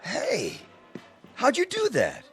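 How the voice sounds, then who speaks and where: An actor with an American accent playing an easygoing but wary traveling pool player in a radio drama. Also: male voice, very high voice, huge pitch swings, slow pace, over loud music, heavily acted. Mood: teasing, surprised.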